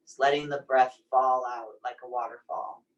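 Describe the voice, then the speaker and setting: A woman leading a guided meditation in a soothing, gently encouraging, unhurried manner. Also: very deep voice. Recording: distant microphone pickup.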